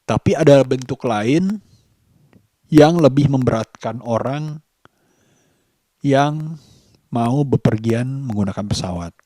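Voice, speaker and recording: Guy from doing a daily vlog, talks slow n halting, thinks as he goes, dry sound. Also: mid-range voice, animated, dark tone, no room sound. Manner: halting, reflective, with long pauses mid-sentence. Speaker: vlogger. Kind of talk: casual spoken daily vlog monologue.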